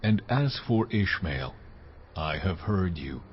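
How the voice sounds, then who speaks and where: A man's voice: sits low, big pitch swings, slow, a little hiss or hum, full character voice. A man playing a solemn, majestic God in a dramatized scripture reading, speaking in a stately, declamatory way. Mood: solemn, promising. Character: almighty God, solemn, commanding, majestic male deity. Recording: dull lo-fi sound, music underneath.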